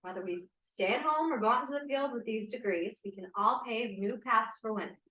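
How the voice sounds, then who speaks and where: A woman's voice: on the high side, brisk, sharp tone. A woman acting as a level-headed, encouraging 1940s college student in a script read-through. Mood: rousing. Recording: echoey room.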